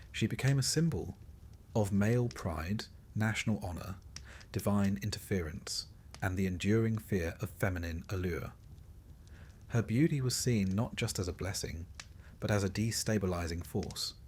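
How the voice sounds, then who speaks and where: A man with a somewhat low voice narrates in the manner of a long bedtime tale. His phrasing is measured and deliberate, set out in careful pieces. The delivery is scripted and literary, with a wry, understated edge. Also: darkish tone, slightly rough. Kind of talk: scripted sleep-story narration of Greek myth.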